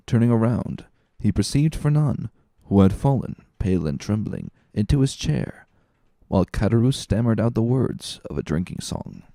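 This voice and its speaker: A man narrating a dramatic audiobook in a deliberate storytelling manner. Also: mid-range voice, creaky, dark tone, dry recording, clean studio sound.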